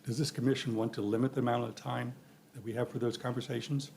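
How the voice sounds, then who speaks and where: A man's voice: mid-range voice, little pitch movement, fast, gravelly, a little mellow, a little hiss or hum. A man chairing a planning commission meeting, speaking informally and tripping over his words now and then. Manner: informal, runs the clock, stumbles over words.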